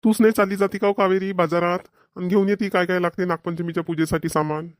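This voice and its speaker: Man voicing a fast-talking rural Maharashtrian cartoon villager. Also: high-pitched, fairly even intonation, dry recording, clean studio sound, close to an everyday voice. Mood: grumbling. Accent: Maharashtrian, rural Vidarbha Marathi. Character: village men, dutiful sons and put-upon husbands. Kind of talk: cartoon comedy dialogue.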